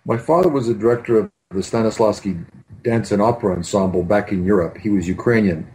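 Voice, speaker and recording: A man's voice: fairly low pitch, brisk, a little mellow, poor audio. A man, a film director reminiscing in an interview in a hesitant, rambling way over a video call. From Canada. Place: home room with bookshelves. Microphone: computer mic on video call.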